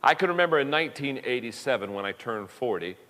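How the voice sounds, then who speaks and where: A man in his fifties delivers a leadership lesson in a folksy, personal way. He often restarts his phrases, repeating a word before he gets going. His voice sits at an ordinary pitch and rings with a long hall reverb. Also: lively intonation, quick pace, a bit bright. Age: 50s. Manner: folksy, repeats words when starting a thought. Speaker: leadership speaker.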